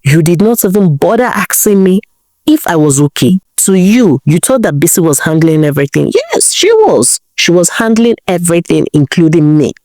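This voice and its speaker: A woman's voice: on the low side, big pitch swings, moderate pace, darkish tone, no room sound, studio quality. A woman storyteller voicing a fed-up, resolute husband, stern and reproachful, with a Nigerian accent. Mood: bitter, reproachful.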